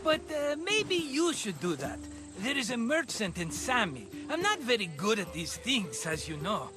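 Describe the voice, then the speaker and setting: An actor playing a scheming, jovial merchant with an effusive, wheedling way of talking in a video game cutscene. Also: male voice, high voice, wildly swooping pitch, moderate pace, music in the background. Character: scheming, jovial merchant turned amateur vineyard owner.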